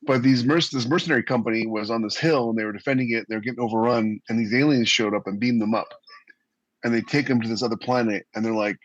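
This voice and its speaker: A man's voice: mid-range voice, steady tone, fast, no room sound. A man co-hosting a podcast, telling things in casual conversation on a slightly dull, close recording. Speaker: podcast co-host. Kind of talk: casual storytelling chat.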